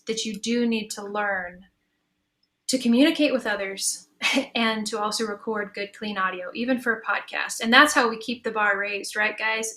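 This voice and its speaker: A woman's voice: medium pitch, pushed and bright. A woman speaking casually on a panel, chatty and encouraging as she explains things and takes questions. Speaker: audio drama creator and panelist taking audience questions.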